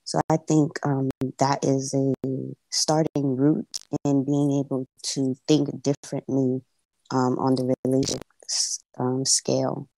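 A woman's voice: extremely low pitch, little pitch movement, unhurried, vocal fry, mellow, no room sound, poor audio. A woman in her twenties from the United States, a caller on a show, who talks in a hesitant, wordy way with many ums. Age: 20s. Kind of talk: casual conversation.

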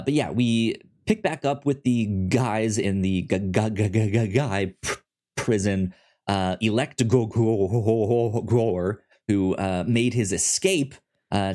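Young male co-host, low-pitched, heavy stutter on word starts, easygoing chat. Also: takes it slowly, darkish tone. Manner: stutters heavily on first sounds. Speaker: podcast co-host. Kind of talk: casual chat.

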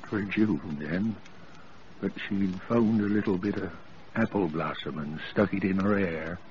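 An actor playing an old rustic farmhand, telling a sad local tale in plain country speech, in an old radio play. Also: male voice, low voice. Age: older. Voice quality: rural dialect grammar, slow folksy telling. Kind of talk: 1940s radio acting. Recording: dull old radio broadcast with hiss.

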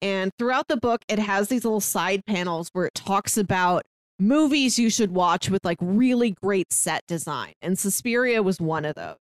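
A woman's voice: mid-range voice, animated, moderate pace, dry recording. A woman co-hosting a movie podcast, chatting in a friendly way and saying "like" a lot. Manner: friendly, chatty, uses "like" often. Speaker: podcast co-host. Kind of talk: casual chat.

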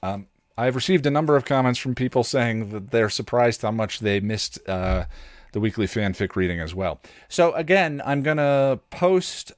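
A man's voice: on the low side, dry recording, studio quality. A man hosting a podcast, reading fan fiction and chatting casually. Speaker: podcast host and reader.

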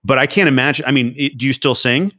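A man's voice: medium pitch, quick pace, bright, forceful tone, no echo, studio quality. A man from the United States interviewing a guest in casual talk, friendly and encouraging with short replies.

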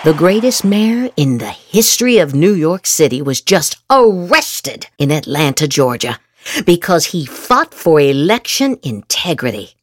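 A woman's voice: low-pitched, very animated, measured pace, dry recording. A woman podcast host reading political social media posts aloud dramatically, with theatrical, exaggerated inflection.

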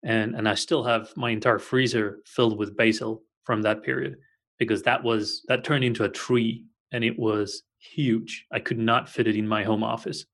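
A man's voice: lowish voice, level tone, normal speed, a bit bright. A man co-hosting a podcast, chatting casually in a rambling, friendly way and sometimes searching for words. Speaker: podcast co-host. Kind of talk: casual chat.

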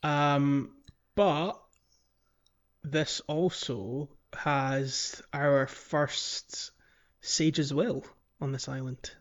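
A man's voice: fairly high pitch, steady tone, extremely slow, lo-fi sound. A man hosting a podcast, talking casually about video games in a chatty, hesitant, rambling way. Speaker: podcast host. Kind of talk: casual video game discussion.

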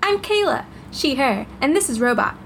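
A curious young girl in an audio drama, youthful and casual. The voice is high and very lively in pitch. There is noticeable ambience behind it. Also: normal speed, gravelly, slight background noise, exaggerated voice. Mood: shy. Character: curious, excitable young girl, guarded with strangers.

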